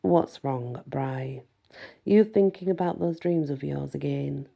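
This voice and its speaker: Lady doing a deep rumbly werewolf boy, young guy, slow and understated, soft dark husky tone. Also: full character voice. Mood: tender. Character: teenage werewolf boyfriend, laconic, protective, amused young man.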